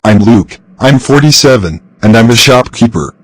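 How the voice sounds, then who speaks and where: Computer voice for a husky male shopkeeper in his forties, mid pitch, flat. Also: normal speed, close to an everyday voice. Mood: plain. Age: 40s. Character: middle-aged male shopkeeper contestant. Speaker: quiz contestant.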